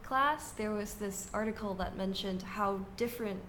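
A woman's voice: medium pitch, moderate pace, fairly bright tone, a little hiss or hum. A young woman from Taiwan, chatting casually as a student podcast co-host, friendly and easygoing with frequent giggles. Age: young adult. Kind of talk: casual chat.

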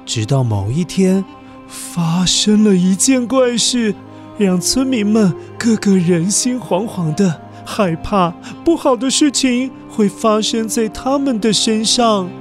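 A man's voice: high voice, animated, takes it slowly, faint music behind. A man with a Taiwanese Mandarin accent narrating a children's story expressively and dramatically, putting questions to his young listeners.

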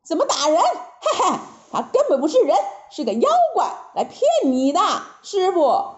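Monkey hero for a storybook, played by a woman: cheeky, insistent, exclaiming, very high and swooping. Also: very animated, normal speed, darkish tone. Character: cheeky, excitable male monkey hero pleading with his master. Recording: roomy, dull lo-fi sound.

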